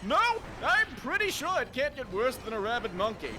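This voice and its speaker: A man's voice: extremely high pitch, sing-song, normal speed, noisy background, voice pushed up. A man voicing a reluctant, hapless cartoon plumber in a comic story read-aloud. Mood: reluctantly resolved.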